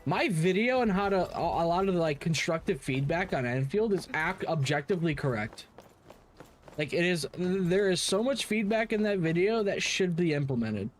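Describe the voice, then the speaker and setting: Blunt, slangy, higher-voiced male streamer. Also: lively intonation, moderate pace, a little hiss or hum. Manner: blunt, repetitive, stammering. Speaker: game streamer. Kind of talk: casual, slangy gaming stream chat. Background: game music.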